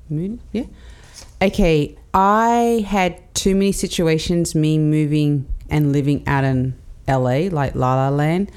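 A woman's voice: low-pitched, darkish tone, clear, resonant tone. A woman from Australia on a casual podcast, telling a personal story with quoted asides and fillers. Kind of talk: casual panel chat.

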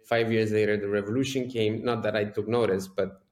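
A man's voice: lowish voice, level tone, brisk, a little mellow, echoey room. A man in his thirties from Romania, a startup founder answering interview questions hesitantly with dry humor. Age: 30s.

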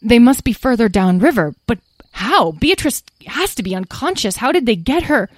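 Woman doing a high earnest stable boy. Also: big pitch swings, normal speed, no echo. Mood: alarmed. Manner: tearful, stammering. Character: earnest young stable boy, kind-hearted and self-doubting. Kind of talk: storybook character voice.

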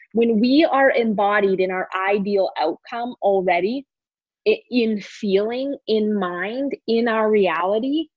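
A woman's voice: medium pitch, dry recording. Female life coach for podcast interview. Emphatic, repeats key words, close dry sound with a muffled edge. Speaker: coach and course teacher.

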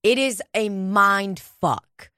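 A woman's voice: mid-range voice, extremely slow, sharp tone, no room sound, clean studio sound. A woman co-hosting a podcast, chatting casually and emphatically, swearing often. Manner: profane, emphatic. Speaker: podcast co-host. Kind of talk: casual chat.

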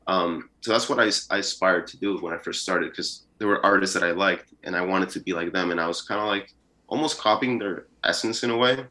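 Bright-voiced young man, low and rambling. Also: fairly quick. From Peru. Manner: relaxed, rambling, many fillers. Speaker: musician guest. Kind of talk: casual interview talk.

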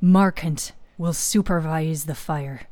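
A woman voicing a grim, grieving king who gives orders gravely in a fantasy audio story. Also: low voice, dry recording, voice pushed down. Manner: slow, grave, dark.

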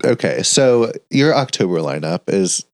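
A man's voice: fairly low pitch, sing-song, a little slow, darkish tone, no echo, clean studio sound. A man on a podcast as the guest, talking eagerly and teasing the others. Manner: enthusiastic, teasing. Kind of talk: casual chat.

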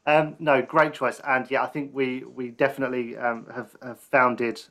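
A man hosting a podcast interview in a relaxed, friendly conversational style. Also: mid-range voice, normal speed. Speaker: podcast host. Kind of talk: casual interview chat.

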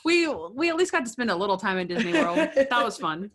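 A woman's voice: fairly high pitch, very animated, fairly quick, a crowd in the background. Upbeat Texan woman co-hosting a podcast. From Texas, USA. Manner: upbeat, laughs often. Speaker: podcast co-host. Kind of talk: casual podcast chat.

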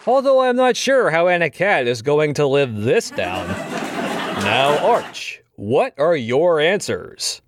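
A man playing a villainous game show host in an audio drama, with drawn-out, teasing showman patter. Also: a little high, sing-song, background noise. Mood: gleeful, teasing. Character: showboating male villain game show host, toying with captives.

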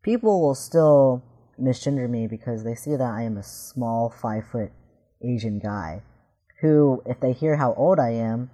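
Man, 20s, deep warm voice, candid and reflective; casual talk. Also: unhurried. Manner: candid, thinks aloud with restarts. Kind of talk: casual discussion.

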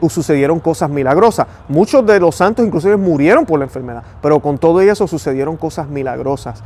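Fast-talking Puerto Rican man. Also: on the high side, a little mellow. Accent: Puerto Rican Spanish. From Puerto Rico. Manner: fast-talking, earnest, prayerful. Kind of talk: devotional talk and prayer.

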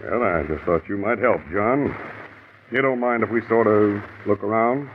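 An actor playing a folksy, conciliatory country sheriff past youth, hemming and hesitant, in 1950s radio drama style. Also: male voice, lowish voice, moderate pace, lightly acted. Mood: apologetic, hesitant. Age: middle-aged or older. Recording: tinny, noisy old radio broadcast.

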